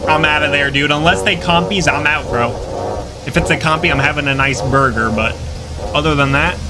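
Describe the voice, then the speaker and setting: A young man doing casual reaction commentary as a video host, talking in slangy, excitable exclamations. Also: on the high side, fairly quick, loud music behind the voice, low-quality recording.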